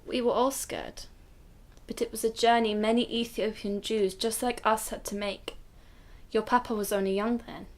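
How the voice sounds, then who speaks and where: A woman's voice: a little high, fairly even intonation, moderate pace, natural voice. Woman playing earnest protective grandmother recounting hardship. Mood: solemn, reflective. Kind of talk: radio drama acting.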